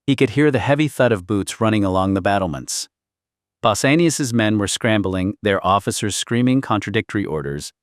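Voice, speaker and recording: Guy w deep voice doing dramatic audiobook narration, intense n suspenseful, dry sound. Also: no room sound. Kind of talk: dramatic scripted narration of historical fiction.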